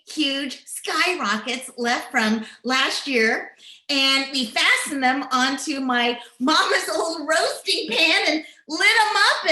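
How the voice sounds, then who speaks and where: A woman's voice: high voice, bright, forceful tone, full character voice. A woman playing a chatty, eager little girl in childlike, hesitant old-time radio comedy style. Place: at home on a video call. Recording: roomy home video-call recording.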